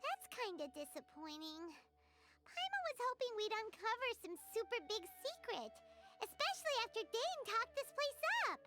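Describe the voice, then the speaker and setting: A woman voicing a small, chatty, childlike fairy companion in an anime video game, with a squeaky, inquisitive delivery. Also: big pitch swings, moderate pace, music in the background. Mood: disappointed. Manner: exclaiming, inquisitive, refers to self by name. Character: small chatty childlike fairy companion, curious, timid, third-person speaker. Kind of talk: animated anime game voice acting.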